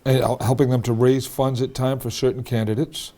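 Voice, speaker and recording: A man's voice: medium pitch, level tone, fairly quick, warm tone. A man hosting a local cable interview, steering with leading questions and checking facts with his guest. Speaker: talk show host.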